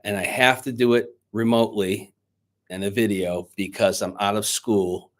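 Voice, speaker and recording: A man speaking to schoolchildren as their teacher, reading a storybook aloud in an expressive, dramatic way. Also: lowish voice, normal speed. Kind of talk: children's storybook read-aloud and chat to pupils. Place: indoors, recorded remotely on video.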